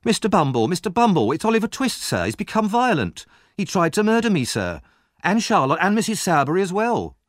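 A man's voice: high voice, wildly swooping pitch, brisk, dry recording, studio quality, pitched above the performer's own voice. A man voicing a spiteful, melodramatic teenage bully boy in a storybook reading. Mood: panicked shrieking. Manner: taunting, melodramatic. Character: spiteful teenage bully boy, jeering, then shrieking cowardly. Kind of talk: storybook narration character.

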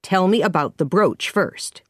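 Stern caring guardian woman, acted by a female reader, firm, unhurried, storybook, close dry sound. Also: on the low side, sing-song, no room sound, full character voice. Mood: angry. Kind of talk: storybook character voice.

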